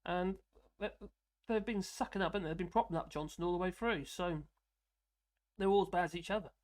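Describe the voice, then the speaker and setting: A man from the UK with a fairly high voice, hosting a live stream and rambling casually through viewer comments with frequent chuckles. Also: fairly quick. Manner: rambling, self-deprecating, chuckling often.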